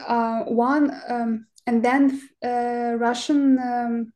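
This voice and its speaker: This is a young woman who answers questions in English, stopping often with small fillers while she hunts for the right word. Her voice sits fairly high yet sounds soft and mellow. She speaks in a plain, earnest way. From Crimea, Ukraine. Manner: halting, searching for words with many fillers. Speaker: activist guest. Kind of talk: interview answers in a second language.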